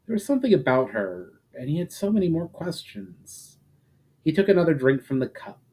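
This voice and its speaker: A man narrating a fantasy story as an expressive, dramatic reader. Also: on the high side, fairly quick. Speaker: narrator and author.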